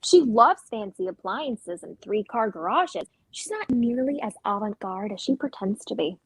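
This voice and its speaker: A woman's voice: fairly high pitch, animated, normal speed, breathy edge, dry recording, poor audio. A woman playing a guarded, conflicted sister, earnest and defensive, in a dramatic play reading. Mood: hurt, guarded.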